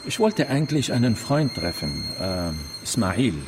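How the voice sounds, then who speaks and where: A man's voice: mid-range voice, big pitch swings, unhurried, music in the background. An actor playing a withdrawn, troubled, bookish young man who speaks hesitantly in a radio drama. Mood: subdued. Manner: hesitant, reticent.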